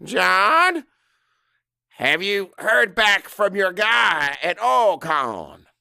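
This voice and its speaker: An impatient old boss barks demands in a raised, high voice , acted by a male audiobook narrator. He is gruff and scolding, with big swings in pitch and a dry, close sound. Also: very animated, extremely slow, sharp tone, no echo, full character voice. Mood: angry, demanding. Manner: barking, scolding. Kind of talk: audiobook character acting.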